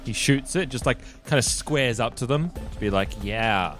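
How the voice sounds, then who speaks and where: A man's voice: medium pitch, moderate pace, a bit bright, faint music behind. A man playing a tabletop game, casually joking and describing what his character does.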